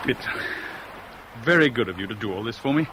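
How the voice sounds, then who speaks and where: A man's voice: medium pitch, animated, a little fast, close to an everyday voice. An actor playing a bitter, lovelorn man in a 1970s radio drama, sardonic and clipped. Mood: troubled. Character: bitter, lovelorn man caught in a love rivalry. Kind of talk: 1970s British radio drama acting with narration. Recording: noisy old radio broadcast recording.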